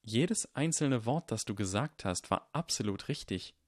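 A man's voice: fairly low pitch, animated, unhurried, a little mellow, dry recording, clean studio sound. A man voicing an earnest, guilt-ridden boy hero in an audiobook, with a strained voice. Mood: subdued. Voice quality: strained, sometimes hoarse.

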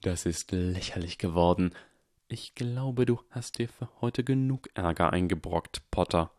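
An icy, contemptuous male teacher drawls every word slowly with open scorn, as a man reading a story puts him on. The voice sits deep and low. It is recorded close and dry, with no room sound. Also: a little mellow, no room sound. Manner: slow, icy and sneering.

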